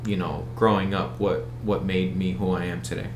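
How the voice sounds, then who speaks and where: A man's voice: low voice, steady tone, moderate pace, noisy background. Easygoing American man, echoey room. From United States. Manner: rambling, many restarts and fillers. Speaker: event organizer, guest. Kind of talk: casual interview talk.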